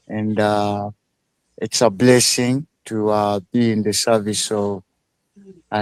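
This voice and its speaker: Slow, halting Ugandan-born man. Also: fairly low pitch, a little mellow, clean tone, no room sound, rough recording. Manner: slow, halting speech with many fillers. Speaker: guest. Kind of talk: casual live interview.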